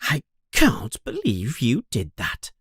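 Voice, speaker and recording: A man's voice: mid-range voice, huge pitch swings, takes it slowly, fairly bright tone, slightly rough, no echo, studio quality, exaggerated voice. A man voicing a stern, plump, motherly woman who scolds shrilly and turns sweet with guests. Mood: scolding.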